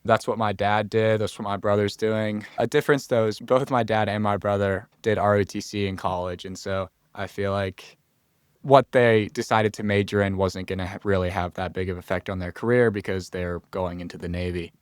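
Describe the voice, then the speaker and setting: A man's voice: on the low side, steady tone, normal speed, smooth, no room sound, clean studio sound. A young man from Florida, a college freshman guest on a podcast, talking earnestly and thinking aloud. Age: young adult. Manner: earnest, thinks aloud with restarts and quoted asides. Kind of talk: casual interview chat.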